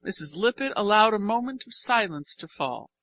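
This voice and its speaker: A woman narrates a storybook in a very bright voice of medium pitch. Her phrasing is deliberate and expressive, shaped for an audiobook. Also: no echo.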